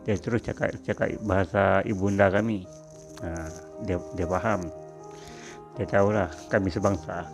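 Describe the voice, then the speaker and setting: A middle-aged Sabahan man recalls his working years in a deep, low voice. His tone is gravelly with noticeable fry, and he talks in an unhurried way, full of small fillers. Faint music plays underneath. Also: steady tone. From Sabah, Malaysia. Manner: reflective, unhurried, with frequent fillers. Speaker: former factory worker telling his story. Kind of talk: personal storytelling.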